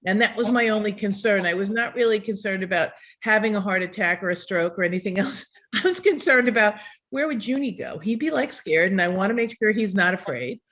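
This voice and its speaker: A woman's voice: medium pitch, lively intonation, a little fast, fairly bright tone. An older woman hosting a community video call, talking earnestly and rambling with fillers.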